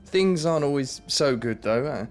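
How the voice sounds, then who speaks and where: A man's voice: a little high, lively intonation, moderate pace, soft background music, lightly acted. A man playing a stammering young musician. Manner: stammering, hesitant restarts. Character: young man with schizophrenia, anxious, hopeful musician. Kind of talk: radio drama acting.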